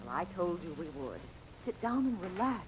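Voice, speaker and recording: An actress playing a practical, concerned woman in an old radio serial, briskly chiding and questioning. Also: on the low side, sing-song. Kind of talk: 1940s radio serial acting. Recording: muffled, noisy old radio recording.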